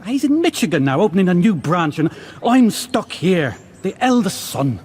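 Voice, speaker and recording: Resentful eldest son; light, animated voice. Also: male voice, high voice, normal speed, slight background noise. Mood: sullen. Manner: sullen, resentful. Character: gloomy, bitter young farmer's son. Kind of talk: radio drama acting.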